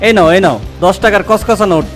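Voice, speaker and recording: A woman's voice: mid-range voice, quick pace, natural voice. A woman voicing a grown-up uncle in a cartoon who lectures in a fussy, flustered way. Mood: preachy. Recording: faint music bed.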